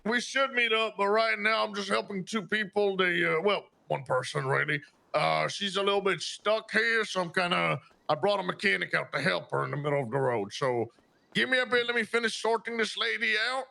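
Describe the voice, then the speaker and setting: A man's voice: high voice, brisk, gravelly, sharp tone, full character voice. A man playing an agitated role-play character who talks urgently and keeps saying "man". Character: rattled adult man named Chuck, panicky.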